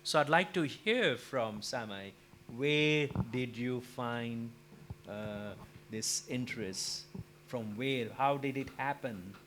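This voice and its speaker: A man's voice: mid-range voice, fairly bright tone, slight background noise. Man moderating, reflective with fillers. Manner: reflective, measured with frequent fillers. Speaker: panel moderator. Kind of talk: panel discussion.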